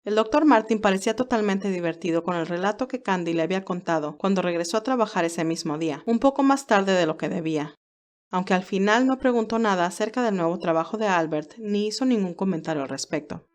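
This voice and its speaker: A woman's voice: mid-range voice, fast. A woman reading scripted story narration as the narrator.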